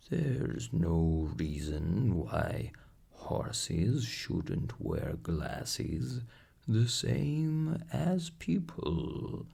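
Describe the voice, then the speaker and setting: A man's voice: lowish voice, vocal fry, warm tone, husky, pitched above the performer's own voice, exaggerated voice. Man as a ponderous, grumbling plough horse. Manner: ponderous, drawn-out, plaintive grumbling. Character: slow, aggrieved plough horse with failing sight. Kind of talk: storybook character voice.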